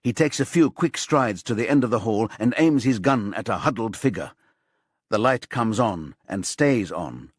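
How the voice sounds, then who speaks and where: A man reading scripted thriller narration as an audiobook narrator, with measured, suspenseful storytelling. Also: lowish voice, animated, slightly rough, dry recording, studio quality.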